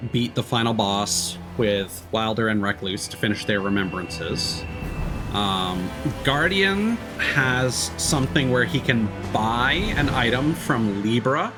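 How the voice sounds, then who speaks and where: A man with a fairly low voice commentates casually on a video game. He thinks aloud as he goes, rambling and hesitating, with clear music playing behind him. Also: a bit bright.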